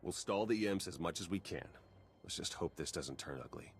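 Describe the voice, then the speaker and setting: A man's voice: low-pitched, animated, moderate pace, vocal fry, soft background music. An actor playing a wry male spy and tech agent who talks in a dry, pragmatic way. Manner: dry, pragmatic, matter-of-fact.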